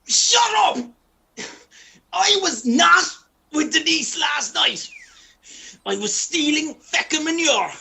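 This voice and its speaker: A performer in a comic radio play as an exasperated, injured Irish man, loud and wailing with indignation. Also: high voice, animated, slow, creaky, exaggerated voice. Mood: angry.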